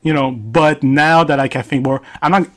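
A man's voice: fairly high pitch, moderate pace, a bit bright. A man in his twenties whose first language is French, hosting a casual podcast chat and rambling while he searches for words. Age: 20s. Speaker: podcast host.

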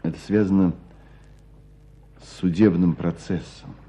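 A man acting in a radio play as a knowing hunter who tells a story with deliberate emphasis. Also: low voice, steady tone, gravelly, dark tone, slightly rough, exaggerated voice. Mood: measured, wry. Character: knowing male hunter recounting an old court case. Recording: dull, muffled radio play sound.

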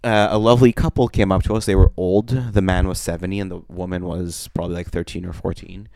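A young man from Russia co-hosts a casual comedy podcast, telling a story in a deadpan way that turns loud when he gets riled. His voice sits low and sounds smooth and resonant. The sound is close and dry, with no room around it. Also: fast, no room sound. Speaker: podcast co-host.

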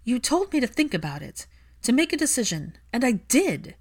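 A woman's voice: a little high, big pitch swings, normal speed, pitched above the performer's own voice. A woman narrator voicing a fiercely loving, hurt young woman in an audiobook, speaking with emphatic feeling. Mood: angry, resolute. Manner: emphatic, impassioned.

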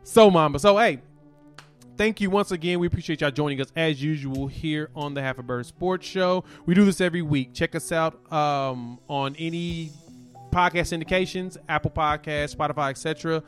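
A man's voice: fairly high pitch, normal speed, faint music behind. Laid-back man chatting sports. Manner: relaxed, laughs often, storytelling. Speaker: sports podcast co-host. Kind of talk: casual sports chat.

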